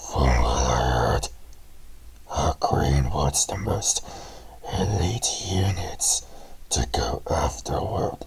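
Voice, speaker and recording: A man voicing a menacing, calculating monstrous horde soldier in a deep, raspy growl, speaking slowly in a scripted drama. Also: heavily acted, rough recording. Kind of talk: scripted audio drama dialogue.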